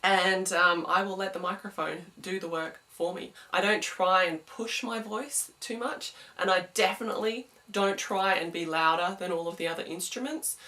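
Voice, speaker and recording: A young woman with a smooth voice, giving a chatty singing lesson to camera and explaining technique with quick sung examples. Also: lowish voice, pushed and bright, recorded from a distance. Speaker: singing teacher.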